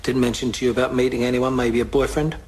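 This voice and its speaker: An actor with an English accent playing a middle-aged, steady police detective who asks plain, probing questions in an audio drama. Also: male voice, mid-range voice, quick pace, slightly rough, lightly acted. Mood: questioning, measured. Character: seasoned, steady police detective sergeant, a family man. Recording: faint background ambience.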